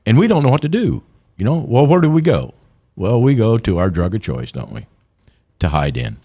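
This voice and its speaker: An older man, a guest on a radio talk show, telling stories in an animated way and repeating words as he gets going. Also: on the low side, a little fast. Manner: animated storyteller, repeats words when excited. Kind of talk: radio interview.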